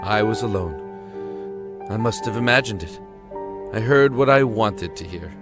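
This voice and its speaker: A man narrating a scripted first-person dramatic story with deliberate, somber phrasing. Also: on the low side, expressive. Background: music.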